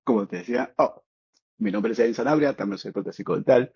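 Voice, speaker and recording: Brief: Peruvian host, rough breathy low voice, chatty and curious, on a muffled dry live stream. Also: male voice, fast, darkish tone, no room sound. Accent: Peruvian Spanish. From Peru. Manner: friendly, laughs often, asks questions. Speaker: dental technician hosting a live demo. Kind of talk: casual live conversation. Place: training academy in Spain.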